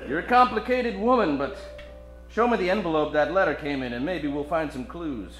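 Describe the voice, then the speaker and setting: A hard-boiled, cocky private eye from a radio play parody, played by a man. The voice is on the high side and swings freely. It sounds roomy, with music clearly behind it. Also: animated, fairly quick, lightly acted. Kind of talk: old-time radio detective parody.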